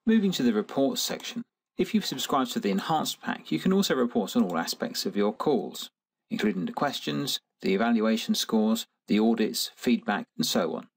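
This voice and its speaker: A man narrating a scripted software tutorial in a methodical, instructional manner. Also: fairly low pitch, sing-song, normal speed, breathy edge. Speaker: software demonstrator.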